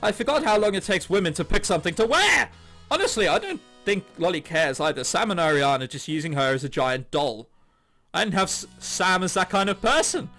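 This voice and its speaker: A high-voiced man with a bright, forceful tone narrates a visual novel let's play. His delivery swings widely and is sarcastic and sweary, as he reads the dialogue and comments on it. Game music plays behind him. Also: lively intonation, brisk. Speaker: gaming YouTuber. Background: game background music.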